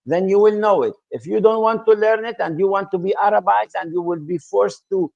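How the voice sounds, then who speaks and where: A man's voice: sits high, a little fast, creaky, darkish tone, dull audio. A man being interviewed as a guest, earnestly explaining history in a lecturing way, with many fillers and restarts. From Israel. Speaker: interview guest, association founder.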